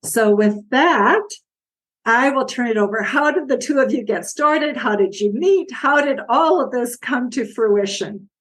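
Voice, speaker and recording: A woman hosting an interview podcast, introducing her guests in a measured way. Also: a little high, a little hiss or hum. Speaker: podcast host.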